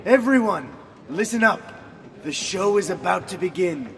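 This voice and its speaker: A man's voice: high voice, lively intonation, moderate pace, fairly bright tone. An actor playing an eager male stage magician in a radio drama, with theatrical, enthusiastic patter. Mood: excited showmanship.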